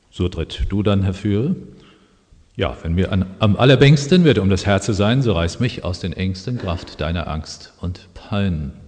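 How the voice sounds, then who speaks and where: Low-voiced male preacher in an echoing hall. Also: darkish tone. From Germany. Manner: conversational, explanatory, pausing with fillers. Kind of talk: sermon with personal anecdotes and Bible quotations. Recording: long echoing reverb of a hall.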